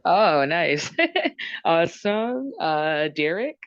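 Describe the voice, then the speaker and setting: Low-voiced woman hosting a dating show. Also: animated, smooth, dry recording, muffled recording. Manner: brisk, repeats short approvals. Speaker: host. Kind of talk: moderating a group dating show.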